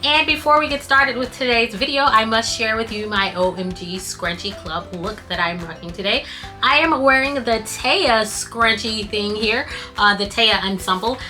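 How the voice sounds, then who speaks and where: Bright-voiced woman vlogger, voice going. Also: on the high side, normal speed, faint music behind. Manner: chatty, reads tips off cue cards while sewing. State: losing her voice. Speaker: scrunchie business owner and channel host. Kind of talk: casual tutorial vlog.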